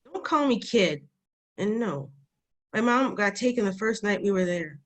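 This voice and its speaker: A woman's voice: medium pitch, expressive, measured pace, natural voice. A woman at a table read voicing a plucky, cheeky young girl who is tougher than she looks. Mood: defiant. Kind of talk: screenplay table read acting.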